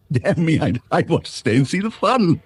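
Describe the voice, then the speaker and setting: A man's voice: on the high side, husky, heavily acted. An actor playing a jovial, mischievous, gossipy small-town man with an English accent in a radio drama. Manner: chuckling, droll, confiding.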